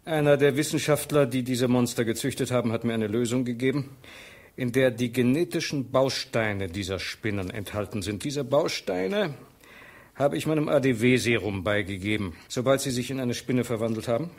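Actor playing a grave, dramatic radio-play professor. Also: male voice, mid-range voice, moderate pace, close to an everyday voice. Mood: grave, urgent. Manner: solemn, dramatic, declaiming. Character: grave male scientist professor explaining a desperate plan. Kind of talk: 1980s German children's radio play acting.

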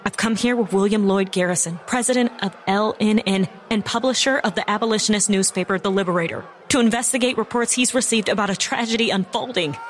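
A woman's voice: mid-range voice, fast, hardly any pauses, slight background noise, lightly acted. An actress playing an urgent, earnest woman news reporter on the scene of a violent uprising in an audio drama. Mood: urgent, serious.

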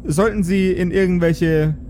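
A man's voice: high-pitched, fairly even intonation, unhurried, warm tone, a little hiss or hum, voice pushed up. A man voicing a formal, smugly polite female handler who speaks in veiled threats, in an improvised audio game. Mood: politely menacing.

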